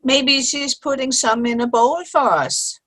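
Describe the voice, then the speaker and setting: A woman's voice: high voice, unhurried, fairly bright tone. A woman playing a wry, teasing older friend in a comic play reading. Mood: easygoing. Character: wry, indulgent old girlfriend at afternoon tea. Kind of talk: virtual play reading, comedy. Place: video call.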